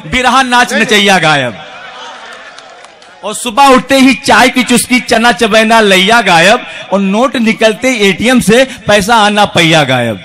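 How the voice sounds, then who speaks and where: A man's voice: high voice, a bit bright. A man reciting poems in rhythmic verse at a poetry evening, speaking into a stage microphone. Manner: rhythmic declamation with refrains. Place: large outdoor stage with a crowd.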